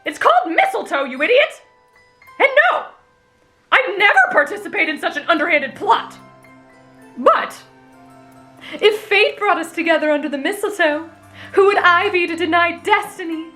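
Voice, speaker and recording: A woman's voice: very high-pitched, expressive, moderate pace, pauses often, bright, forceful tone, soft background music, exaggerated voice. A woman voicing an anxious, scheming teenage boy with a petulant, exasperated manner, in an anime fan roleplay. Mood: indignant.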